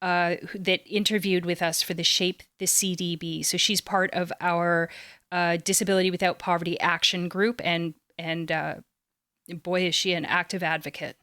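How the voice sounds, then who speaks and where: A woman hosting a conversational podcast, often pausing, repeating and correcting herself as she goes. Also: fairly low pitch, level tone, bright, forceful tone, no echo, clean studio sound. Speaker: podcast co-host.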